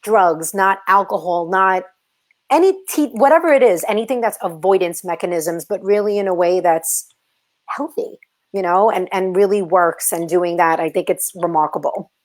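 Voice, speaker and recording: A woman's voice: fairly low pitch, moderate pace. Encouraging Brooklyn woman hosting an interview. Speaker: host. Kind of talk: casual interview conversation.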